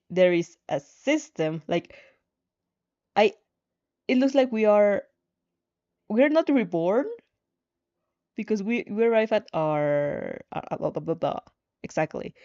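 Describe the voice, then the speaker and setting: A woman casually chatting on a livestream as she reads fan fiction, halting and repeating herself with little giggles. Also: mid-range voice, mellow. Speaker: streamer reading fan fiction aloud. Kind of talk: casual livestream chat and read-aloud. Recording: muffled, roomy home recording with music.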